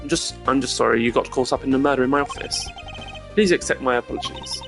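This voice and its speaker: A man voicing a cool, formal prosecutor from a video game, speaking in a measured, pompous way. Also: mid-range voice, lightly acted. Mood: composed, pondering. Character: cool, formal male prosecutor with deductive mind. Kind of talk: amateur video game voice-over. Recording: loud music under the voice.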